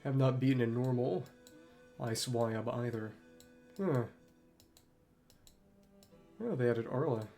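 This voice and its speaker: Male gaming streamer, average-pitched voice, casual and musing, reads on-screen dialogue aloud, music behind. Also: slow pace, long pauses, warm tone. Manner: musing to himself with short asides. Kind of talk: casual game commentary, reading dialogue aloud.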